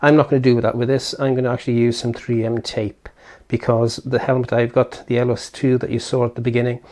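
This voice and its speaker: Guy doing a casual unboxing video, medium pitched voice, kinda thinking out loud as he goes. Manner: unscripted, thinking aloud. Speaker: video host. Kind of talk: casual unboxing and setup walkthrough.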